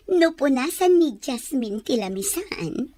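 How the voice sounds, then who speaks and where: High-pitched petulant scheming daughter. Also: female voice, warm tone, exaggerated voice. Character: scheming young woman, petulant daughter obeying her mother. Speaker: radio drama actor. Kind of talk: Ilocano radio drama acting.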